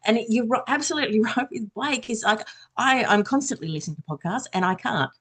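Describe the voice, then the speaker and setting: A woman podcaster chatting casually on a panel, enthusiastic and quick to giggle as she talks. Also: on the high side, a bit bright, breathy edge. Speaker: audio drama podcaster, former radio worker.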